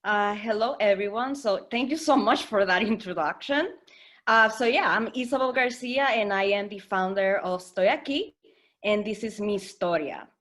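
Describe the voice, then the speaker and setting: A woman from the Dominican Republic telling her personal story as a webinar guest speaker, hesitant and reflective, with many pauses and fillers. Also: mid-range voice, fairly bright tone. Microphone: video call.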